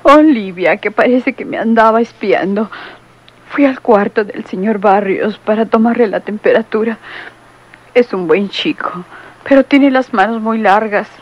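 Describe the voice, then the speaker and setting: Actress playing a chatty young nurse. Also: female voice, mid-range voice, measured pace, highs cut off, close to an everyday voice. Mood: gossipy. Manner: pleading, then gossipy and playful. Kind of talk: vintage radio drama acting. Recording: old radio recording.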